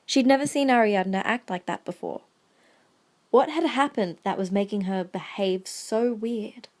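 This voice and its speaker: Give me a female story narrator, medium pitch. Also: measured pace, a little mellow.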